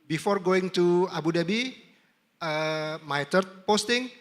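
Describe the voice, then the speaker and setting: A man's voice: high voice, a little slow. A middle-aged man with an Indonesian accent, speaking as a diplomat to a summit audience and repeating his key points for emphasis. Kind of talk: anecdotal talk with audience Q&A.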